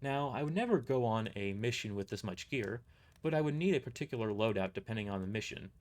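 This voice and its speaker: A man narrating a first-person story aloud, reading a little haltingly and stumbling over words. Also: fairly low pitch, lively intonation.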